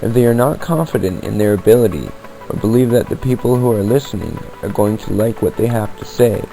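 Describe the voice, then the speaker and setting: Guy reading a scripted podcast piece, lowish voice, bit of music behind, homemade recording. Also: creaky, warm tone, rough recording. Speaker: podcast host. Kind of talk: scripted informational narration. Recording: amateur podcast, basic equipment, uneven levels.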